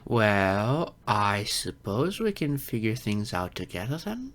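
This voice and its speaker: A man's voice: on the low side, big pitch swings, slow pace, a bit bright, exaggerated voice. A man playing an insecure, geeky adult silver fox in an audio roleplay, hesitant, stammering and self-deprecating. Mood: shy, playful. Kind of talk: ASMR-style audio roleplay acting.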